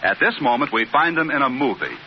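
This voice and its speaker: A man's voice: medium pitch, very animated, fast talker, a little hiss or hum, lightly acted. A man narrating a radio sitcom as its announcer, in scripted old-time style. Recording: dull old radio recording. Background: music.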